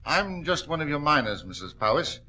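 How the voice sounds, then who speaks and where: An actor playing a humble, deferential miner, respectful and hesitant, in a 1940s radio drama. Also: male voice, fairly high pitch, very animated, fairly quick, sharp tone, highs cut off. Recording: old-time radio drama recording.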